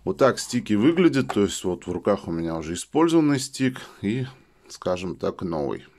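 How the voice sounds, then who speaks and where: A man with a slightly hoarse voice from a cold, chatting casually while demonstrating a product at a table indoors and leaning on filler phrases. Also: fairly low pitch, very animated, normal speed, a little mellow, a little hiss or hum. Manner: chatty, keeps saying "let's say" as filler. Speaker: product reviewer. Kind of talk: casual how-to demo.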